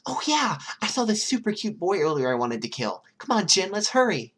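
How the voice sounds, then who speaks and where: Casting: playful young villain girl played by a man, lifted pitch, chatty, teasing, excitable, audiobook character. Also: brisk, husky, exaggerated voice. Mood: excited.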